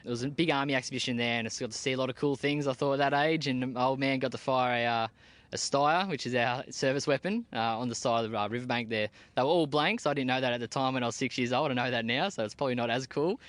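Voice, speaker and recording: A man's voice: mid-range voice, quick pace, a bit bright, dry recording. A young Australian man, an army team leader, answering interview questions in a plain-spoken, casual way with frequent fillers.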